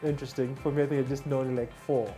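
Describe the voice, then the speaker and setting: A man hosting a business talk show, quick with interjections and short prompts. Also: mid-range voice, flat delivery, dark tone, soft background music. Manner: fast, with frequent interjections like interesting and mm-hmm. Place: studio set at a business club.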